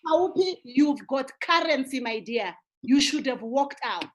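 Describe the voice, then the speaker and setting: A woman panelist on a video call, speaking forcefully and emphatically, repeating herself for effect. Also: sits high, animated, unhurried, slight background noise. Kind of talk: virtual roundtable discussion.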